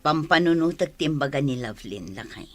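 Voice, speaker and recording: A woman playing a bossy, nagging older mother with a husky voice and an Ilocano accent in a comedy drama. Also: low voice, animated, fairly quick, faint music behind. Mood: grumbling, worried. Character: bossy, nagging older mother arranging her daughter's wedding. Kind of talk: Filipino comedy-drama dialogue.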